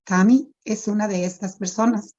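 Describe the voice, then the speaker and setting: A woman moderating a support group meeting, reading the opening statements and prayer in a measured, formal way over a video call. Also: medium pitch, steady tone, a little mellow, lo-fi sound.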